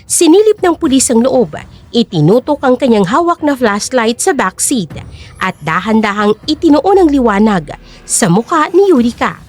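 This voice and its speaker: A woman's voice: fairly high pitch, sing-song, moderate pace, darkish tone. A woman narrating a dramatic scripted story in Tagalog.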